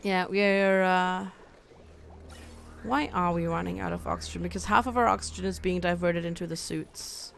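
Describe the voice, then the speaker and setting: Woman from germany on a game stream, kinda gravelly voice, laughs a lot while reading chat, soft music behind. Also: on the low side, fairly even intonation, moderate pace. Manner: chatty, reads chat aloud, laughs often. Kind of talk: casual livestream chat while playing.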